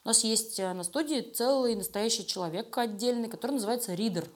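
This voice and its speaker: A woman speaking as a studio creative director being interviewed, explaining things at length in an informal, expressive way. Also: fairly high pitch, fast talker. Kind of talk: casual interview talk.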